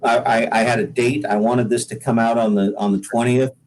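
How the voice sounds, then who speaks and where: A man's voice: medium pitch, fairly even intonation, a little mellow, rough recording. A man talking casually as a singer-songwriter guest in an interview, often repeating words as he gathers his thoughts. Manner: halting, repeats words while thinking.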